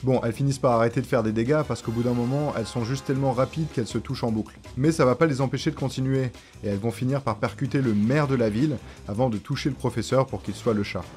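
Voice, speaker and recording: A man's voice: mid-range voice, fast, hardly any pauses, a little mellow. A man presenting a video essay in scripted narration, with casual slang and joking asides. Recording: music behind the voice. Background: background music.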